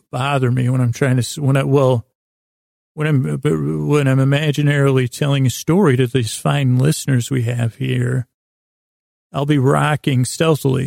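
A man rambles through a bedtime monologue as a podcast host. His voice is very gravelly and creaky, with little pitch movement, in a close, dry recording. He meanders and halts, pausing mid-thought to restate what he just said. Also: a little mellow, no echo. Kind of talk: rambling bedtime monologue to help listeners sleep.

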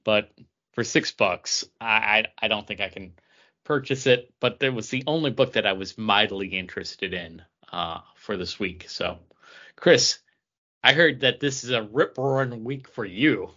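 A man co-hosting a comic book podcast in casual chat, with halting, stop-and-start phrasing. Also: on the low side, bright, forceful tone, dull audio. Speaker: podcast co-host.

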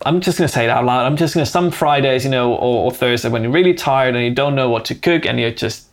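A man's voice: mid-range voice, quick pace. A man with a Finnish accent, a food technologist guest in a casual interview, often hesitant and repeating words as he answers. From Finland.

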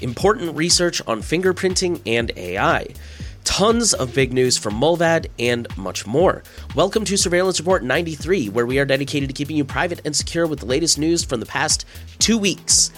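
A man's voice: a little high, lively intonation, normal speed, a bit bright, soft background music. A man hosting a news podcast, a little scratchy and stuffy-nosed from a cold, reading stories with casual asides. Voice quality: slightly scratchy. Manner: plain, conversational, with asides. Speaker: podcast host.

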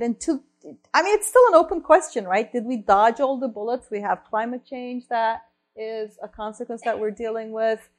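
Woman from Turkey, fairly high voice, lecture talk, energetic, thinking aloud. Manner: energetic, thinks aloud with frequent "right?" and restarts. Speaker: researcher and columnist giving a talk.

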